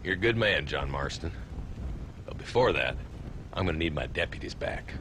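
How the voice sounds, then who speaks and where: A wry, weary frontier marshal. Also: male voice, a bass voice, lively intonation, moderate pace, fairly bright tone, slight background noise. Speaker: town marshal.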